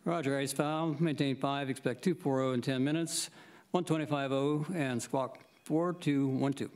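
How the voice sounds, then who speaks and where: A man's voice: mid-range voice, steady tone, moderate pace, darkish tone. A man doing a demonstration as an airline pilot, giving clipped read-backs in aviation radio phraseology.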